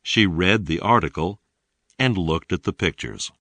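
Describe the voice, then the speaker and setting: Deep-voiced man narrating slowly. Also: expressive, vocal fry, a bit bright, dry recording. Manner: slow, deliberate enunciation. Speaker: narrator of an English lesson. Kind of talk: scripted narration, read-along language lesson.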